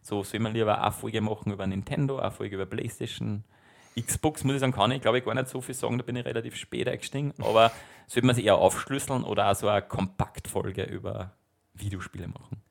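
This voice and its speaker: A man's voice: lowish voice, fairly even intonation, fast, vocal fry. A man in his thirties with an Austrian accent, co-hosting a podcast in casual, jokey chat full of self-mockery. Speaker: podcast co-host.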